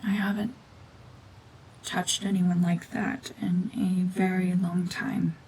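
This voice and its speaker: A woman acting a cold, domineering mutant witch in an ASMR roleplay drama, hushed and often whispering, with terse, guarded commands. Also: lowish voice, slight background noise, poor audio. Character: renegade mutant cyborg gorgon witch, female, cold, domineering, slowly softening.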